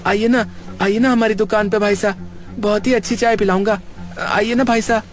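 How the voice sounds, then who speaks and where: A man's voice: high-pitched, steady tone, moderate pace, soft background music, natural voice. A man playing an eager, respectful teenage boy from a village tea stall.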